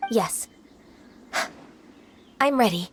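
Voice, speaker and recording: Casting: earnest, courteous young heroine for anime game, lightly high and gentle, measured delivery. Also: female voice, animated, noisy background. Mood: apologetic, hesitant. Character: gentle, earnest young half-adeptus woman in training.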